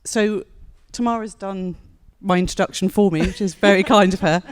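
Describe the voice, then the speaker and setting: A woman's voice: mid-range voice, fairly even intonation, normal speed, clear, resonant tone. A woman journalist interviewing a guest onstage before an audience, friendly and polite, with fillers. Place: event hall before an audience.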